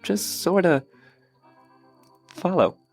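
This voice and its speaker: A man playing a nervous, slow-witted young bandit, hesitant and husky in an audio drama. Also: high voice, expressive, faint music behind, full character voice. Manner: hesitant, stammering.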